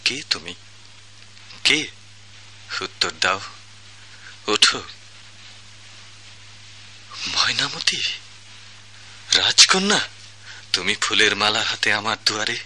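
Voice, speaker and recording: An actor playing a lovelorn young singer in a palace tale, declaiming poetically with dramatic pauses in a Bangladeshi accent, in old-fashioned radio drama style. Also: male voice, lowish voice, bright, forceful tone, full character voice. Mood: startled, wondering.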